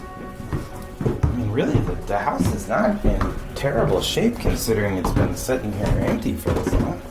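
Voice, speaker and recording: An actor playing an uneasy, compliant new homeowner in a fiction podcast, a man talking casually in a halting, hedging way. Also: fairly low pitch, big pitch swings, over loud music. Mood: defensive, hesitant. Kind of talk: naturalistic fiction podcast dialogue.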